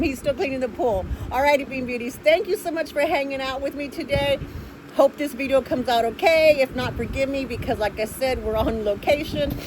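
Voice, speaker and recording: A woman vlogger speaks in a high, excitable voice. She chats casually and effusively, as if showing off treasures to her regular viewers. There is clear ambient noise behind her. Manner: gushing, effusive, easily excited. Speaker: vlogger, host of an unboxing channel. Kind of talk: casual excited unboxing chat.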